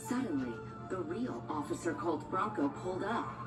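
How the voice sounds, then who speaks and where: Low-pitched woman narrating a children's story. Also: expressive, moderate pace. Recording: echoey, distant, music behind.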